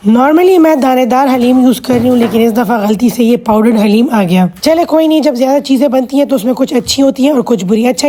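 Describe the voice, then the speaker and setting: A woman hosting a cooking vlog with a hoarse voice, explaining each step patiently with chatty asides. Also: high-pitched, warm tone. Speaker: cooking channel host.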